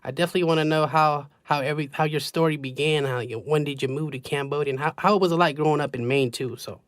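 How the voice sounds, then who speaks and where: A man from the United States hosting a podcast, relaxed and laughing easily as he asks open questions. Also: on the high side, fast talker, no room sound, studio quality. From United States (Seattle). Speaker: podcast host. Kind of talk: casual interview chat.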